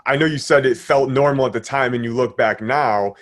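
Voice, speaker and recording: A man in his thirties hosts a podcast interview from his living room over a video call. He sounds friendly and upbeat, with a casual, easygoing way of talking. His voice sits in a middle pitch for a man. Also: brisk. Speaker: host.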